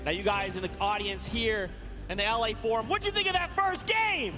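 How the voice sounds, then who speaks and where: A man calling a live esports match in a large arena, excitable and shouting at peaks, his voice going hoarse. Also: high voice, a little fast, over music. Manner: excitable, often shouting over the crowd. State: losing his voice. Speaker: esports caster.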